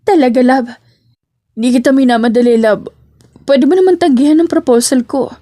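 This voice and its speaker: A woman voicing a gentle, affectionate man pleading with his beloved in a romance audio drama. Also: sits high, a little fast, no echo, clean studio sound, close to an everyday voice. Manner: coaxing, affectionate. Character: devoted male suitor, gentle and pleading.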